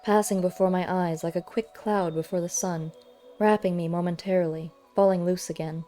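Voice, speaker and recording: A woman reading a first-person story aloud as an audiobook narrator, measured and expressive. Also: on the low side. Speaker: audiobook reader. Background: faint music.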